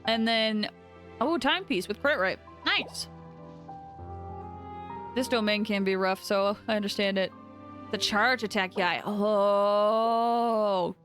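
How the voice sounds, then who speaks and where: A woman chatting casually on a game stream, giving animated reactions to what she sees. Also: mid-range voice, takes it slowly, long pauses. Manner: chatty, animated reactions, breaks into singing and laughter. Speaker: livestreamer. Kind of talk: casual live gaming commentary with chat. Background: game music.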